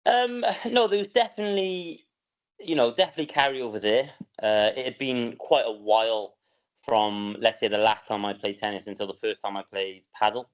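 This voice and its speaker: A young man from Wales answering interview questions in a relaxed, hesitant way, with many ums and repeated words. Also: on the low side, moderate pace. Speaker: guest, professional padel player. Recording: dull lo-fi sound.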